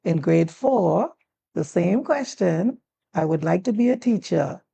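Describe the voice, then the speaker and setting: A woman in her sixties from the Turks and Caicos Islands, whose accent shows plainly as she tells stories. Her voice is low, heavily gravelly and husky, with a dark, mellow tone. She brings the people in her stories to life by acting out what they said. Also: measured pace, lo-fi sound. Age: 60s. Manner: animated storyteller who acts out quoted dialogue. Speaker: guest, former teacher and district commissioner. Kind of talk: relaxed storytelling in a talk-show conversation.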